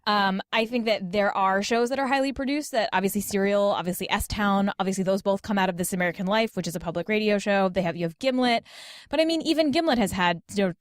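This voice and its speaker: A woman's voice: mid-range voice, no room sound, studio quality. A woman talking casually as a podcast guest, chatty and informal with an emphatic way of making her points. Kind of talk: casual conversational panel talk. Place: small closet at home.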